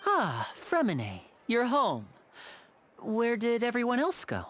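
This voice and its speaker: A man voicing a suave, courteous young gentleman in a video game, with a light, youthful voice and polished delivery. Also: very high voice, wildly swooping pitch, slow pace, slight background noise, heavily acted. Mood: courteous, warm. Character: suave, courteous young male magician, protective older brother. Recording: music under the voice.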